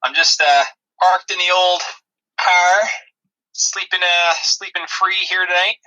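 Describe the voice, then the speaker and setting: A man's voice: sits high, fairly even intonation, unhurried, sharp tone, poor audio. A man co-hosting a podcast with casual, joking chat. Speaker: podcast co-host.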